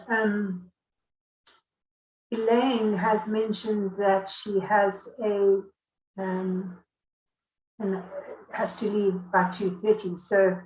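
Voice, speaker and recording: A South African woman chairing an online academic session, speaking politely and hesitantly. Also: medium pitch. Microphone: video call. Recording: echoey room, faint background noise.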